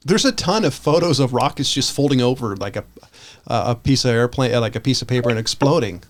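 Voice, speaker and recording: A man's voice: medium pitch, expressive, quick pace. A man with an American accent, chatting casually as a podcast co-host. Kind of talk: casual panel chat.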